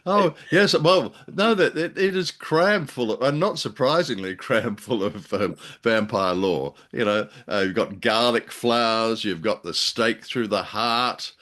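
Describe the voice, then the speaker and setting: A man's voice: mid-range voice, unhurried, few pauses, fairly bright tone. An older man hosting a radio show in casual review chat over a video call, rambling and hesitant with wry jokes. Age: older, around 70.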